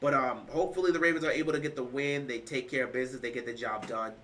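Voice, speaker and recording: A man's voice: medium pitch, fast, slight background noise. A man hosting a casual football talk show, arguing his points emphatically and repeating himself. He is tired and losing his voice. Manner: emphatic, repeats points, rising to loud stresses. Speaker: sports show host. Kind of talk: casual sports talk, answering live chat.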